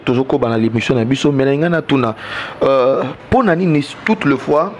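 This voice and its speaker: Congolese man hosting a politics interview, long rambling hesitant questions, bit of noise behind. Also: mid-range voice. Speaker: host, interviewer.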